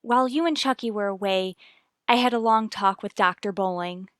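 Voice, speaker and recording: A woman's voice: medium pitch, moderate pace, fairly bright tone, no room sound, clean studio sound, lightly acted. An actress playing an earnest, anxious but determined wife and mother in a 1950s radio soap, formal and firm. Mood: tense, earnest.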